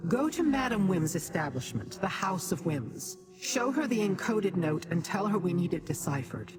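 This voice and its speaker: A woman's voice: fairly low pitch, sing-song, moderate pace. An actress playing an imperious immortal female Daedra in a video game, speaking formally and with contempt for mortals. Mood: commanding. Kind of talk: video game character dialogue. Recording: long hall reverb, clear game music behind. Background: game music.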